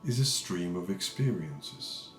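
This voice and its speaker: A man with a low voice leads a guided meditation in a calm, measured manner. He speaks very slowly, leaving pauses between short phrases. The sound is noticeably roomy. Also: soft background music. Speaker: meditation teacher. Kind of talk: guided meditation, unscripted spiritual talk.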